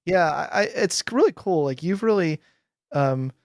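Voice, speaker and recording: A man's voice: sits high, animated, normal speed, no echo, clean studio sound. A man in his forties, a guest psychologist, talking animatedly and enthusiastically with lots of fillers and laughter. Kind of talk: casual interview chat. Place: home room with bookshelves. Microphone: headphones with boom microphone.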